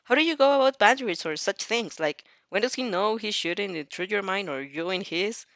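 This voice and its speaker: A woman's voice: mid-range voice, lively intonation, a little fast, fairly bright tone, dry recording. A woman voicing an earnest, formal teenage girl student, courteous and inquisitive, in a story reading. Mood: curious.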